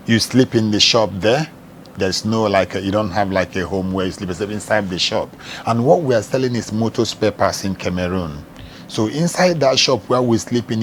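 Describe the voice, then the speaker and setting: A man's voice: lowish voice, slight background noise. A middle-aged Nigerian man with a Nigerian accent, an evangelist telling his life story in earnest, plain words during an interview. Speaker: evangelist and charity founder, interview guest.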